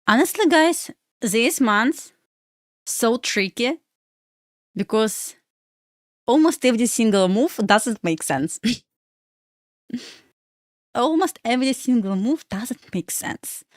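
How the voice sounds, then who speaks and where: A woman's voice: fairly high pitch, animated, takes it slowly, pauses often, no room sound. Young Belarus-born female streamer, English, casual and chatty, dry voice. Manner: chatty, addresses viewers as guys, frequent uh fillers, non-native phrasing. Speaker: livestream host and day trader. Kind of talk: casual livestream chat with viewers.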